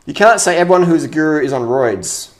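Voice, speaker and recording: A man's voice: a little high, expressive, a little fast. A man in a casual, unscripted rant as an online commentator, blunt, opinionated and freely swearing, often repeating phrases for emphasis. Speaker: online fitness commentator answering viewer comments.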